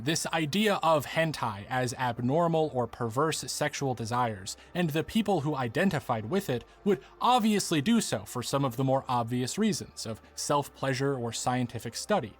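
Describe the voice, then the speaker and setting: A man giving scripted narration as the presenter of a video essay. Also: mid-range voice. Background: background music.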